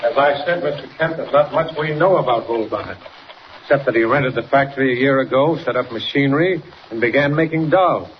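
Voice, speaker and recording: A muffled 1940s radio drama recording with faint noise carries a cautious American police chief, acted by a man. His medium-pitched voice is plain-spoken, in the manner of an old serial. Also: a little fast, lightly acted. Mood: matter-of-fact.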